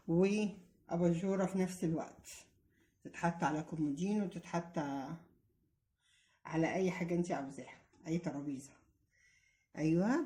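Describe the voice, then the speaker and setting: A woman's voice: mid-range voice, a little mellow. A woman with an Egyptian Arabic accent casually talking viewers through a hands-on craft, chatty, using endearments and checking in with questions. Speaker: craft tutorial host.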